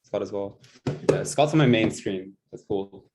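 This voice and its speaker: A man's voice: fairly low pitch, measured pace, noisy background. A young man in his twenties with a New Zealand accent, giving a casual, self-mocking presentation over a video call with lots of ums. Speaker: guest presenter, tutor.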